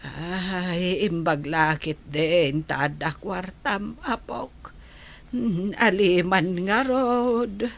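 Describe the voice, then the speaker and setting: A woman playing a sickly, fretful old village grandmother in a radio drama, with a creaky, husky voice and a plaintive way of talking. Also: on the low side, heavily acted. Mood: weak, resigned. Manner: slow, plaintive, complaining. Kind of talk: Ilocano radio drama acting.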